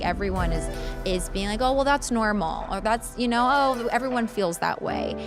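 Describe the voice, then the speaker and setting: A young woman, a podcast guest, talking in a bubbly, chatty way full of likes. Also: fairly low pitch. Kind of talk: casual interview chat.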